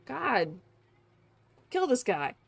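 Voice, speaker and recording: A woman's voice: on the high side, sing-song, extremely slow, gravelly. A woman giving casual, sweary, blunt and joking live commentary as a game streamer.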